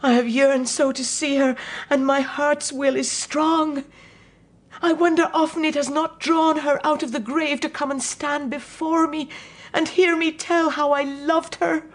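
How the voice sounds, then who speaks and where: A woman's voice: high voice, steady tone, measured pace. An actress playing an anguished, devout old mother in a period audio drama, haunted by her own curse and given to whispers and cries. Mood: anguished yearning.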